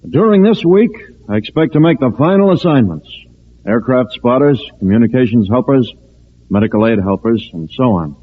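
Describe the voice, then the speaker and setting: A man's voice: medium pitch, expressive, normal speed, lightly acted. A man acting as a polite, businesslike civil defense director in a 1950s radio drama. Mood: courteous, businesslike. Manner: courteous, businesslike chairing of a meeting. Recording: tinny old radio recording.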